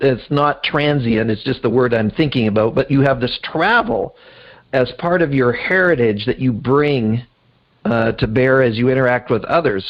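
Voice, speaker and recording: A man's voice: medium pitch, dull audio. A man hosting a conversational interview show, putting questions to his guests. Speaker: podcast host.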